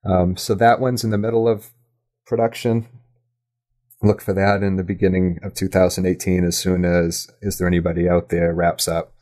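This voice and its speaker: A man speaking as a guest in a casual interview, halting and self-effacing as he thinks aloud. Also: deep voice, level tone, warm tone. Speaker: podcast guest, audio drama creator.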